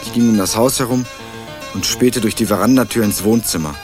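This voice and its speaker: Guy acting as young student narrator in radio crime play, lowish voice, wry measured telling, music behind. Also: close to an everyday voice. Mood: uneasy, observant. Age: young adult. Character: young male student turned amateur sleuth, sober and wry. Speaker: narrator and protagonist. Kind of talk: scripted first-person crime radio drama narration. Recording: music under the voice, 1980s radio production.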